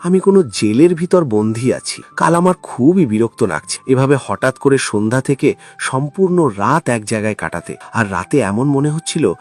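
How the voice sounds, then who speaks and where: A weary, uneasy village man from a cartoon, acted by a man. His complaining delivery is mid-pitched and very lively. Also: moderate pace, close to an everyday voice. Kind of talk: Bengali cartoon voice acting.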